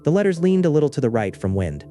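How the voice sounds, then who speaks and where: A man narrating a scripted first-person horror story in a measured, understated way. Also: a little high, sing-song, dark tone, faint music behind.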